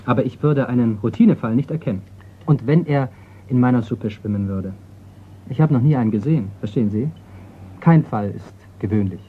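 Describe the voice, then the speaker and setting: A man's voice: mid-range voice, a little fast, breathy edge, lightly acted. An actor in a radio crime drama playing a wry, unflappable male insurance investigator, dry and casual in manner. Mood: dryly amused. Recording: dull, noisy radio play recording.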